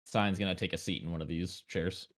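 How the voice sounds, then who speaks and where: A man's voice: sits low, steady tone, a little fast. A man playing a tabletop roleplaying game, talking casually and narrating his character's moves.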